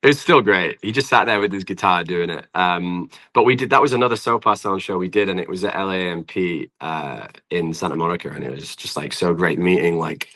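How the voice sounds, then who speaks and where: A man's voice: low-pitched, brisk, few pauses, bright, forceful tone. A young British man chatting casually and effusively as a musician guest in an interview, often hesitating and filling with "like". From Britain. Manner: effusive, informal, hesitant phrasing with frequent "like". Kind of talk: casual podcast interview chat.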